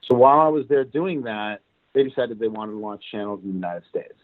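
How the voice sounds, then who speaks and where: A man from Cuba, a guest in an interview, telling how his career went in an animated, casual way. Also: on the low side, brisk, no echo. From Cuban. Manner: animated, recounts events at length. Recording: tinny phone-like line, dry.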